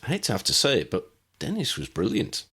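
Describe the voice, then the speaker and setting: A man acts out a confident young witch in an audiobook. The voice is brisk and pushed well up in pitch, very animated in its rise and fall. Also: breathy edge, full character voice. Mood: brisk, explaining.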